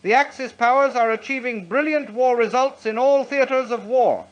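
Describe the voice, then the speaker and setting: A dull, lo-fi recording carries a man's notably high voice. He delivers a scripted political address in a formal, declamatory way, as a wartime speech read aloud. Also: moderate pace. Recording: dull lo-fi sound.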